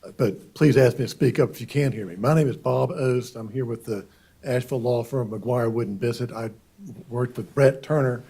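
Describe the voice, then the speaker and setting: A man presenting for an applicant before a county board, hoarse from a cold. His voice is mellow and husky, and he hesitates often, doubling his words. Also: medium pitch. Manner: halting, many repeated words and uhs. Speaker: attorney for applicant. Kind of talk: formal hearing presentation. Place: county board meeting room.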